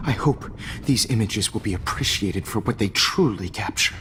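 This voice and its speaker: A smug, coldly controlling kidnapper teacher from a video game. He drawls with wide swings from condescending chat to hushed menace. His low voice rings in a hall-like space over clear background noise. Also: lively intonation, moderate pace. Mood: softly menacing. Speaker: villain. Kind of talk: cinematic video game acting.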